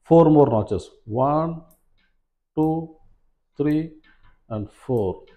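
A man in his forties presenting an instructional fishing demonstration in a measured, step-by-step way, recorded indoors. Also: on the high side, animated, narrow, tinny audio. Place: small plain room indoors, seated at a table.

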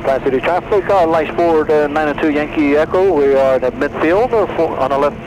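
A man learning to fly, making halting radio calls through an aircraft headset. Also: fairly high pitch, lo-fi sound. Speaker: student pilot. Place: small light aircraft cockpit. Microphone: aviation headset. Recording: engine noise, aircraft headset audio.

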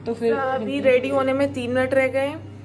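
A woman's voice: high-pitched, level tone, moderate pace, darkish tone, a little hiss or hum. A woman in her thirties, a mother and home cooking vlogger, chatting step by step to viewers as she demonstrates a recipe in her small home kitchen, recorded on a phone held close. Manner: chatty, step-by-step explaining, addresses viewers as guys. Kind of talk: casual cooking demonstration to camera.

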